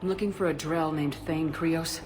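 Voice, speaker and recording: A woman's voice: low voice, fairly even intonation, normal speed, a little mellow, faint music behind, lightly acted. A woman playing a determined military commander in a video game, clipped and earnest. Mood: urgent.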